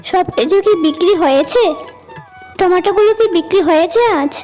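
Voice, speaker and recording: Woman as a very high-voiced caring young wife. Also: moderate pace, darkish tone, soft background music. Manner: practical, attentive.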